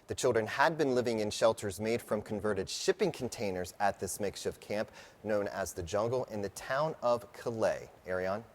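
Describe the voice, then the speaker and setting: A man's voice: fairly low pitch, normal speed. An American man presenting news alerts and updates on television in a scripted broadcast delivery.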